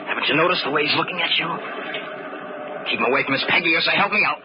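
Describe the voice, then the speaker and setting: An actor playing a petulant, paranoid young stepbrother in an old-time radio drama, sulky and excitable. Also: male voice, on the high side, rapid-fire, natural voice. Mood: peevish, suspicious. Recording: noisy, muffled old radio recording.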